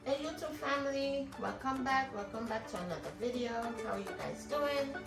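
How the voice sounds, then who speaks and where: A woman's voice: sits high, lively intonation, moderate pace, vocal fry, recorded from a distance. A woman chatting casually to viewers as she hosts a cooking demonstration. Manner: chatty, conversational, addresses viewers directly. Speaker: cooking vlog host. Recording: music behind, roomy, off the mic. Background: music.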